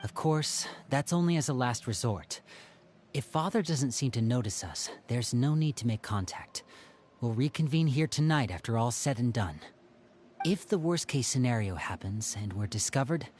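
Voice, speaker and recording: A man's voice: mid-range voice, animated, moderate pace, a little mellow, a little hiss or hum, close to an everyday voice. A man voice acting a theatrical young magician and protective elder brother in a video game, speaking in a coaxing way. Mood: serious, protective.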